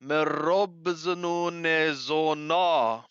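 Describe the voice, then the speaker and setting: A man reading scripture aloud and translating it phrase by phrase, halting and deliberate, correcting his wording as he goes. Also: a little high, fairly even intonation, a bit bright, clean tone, dry recording. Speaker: amateur Bible reader and translator. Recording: dull lo-fi close recording.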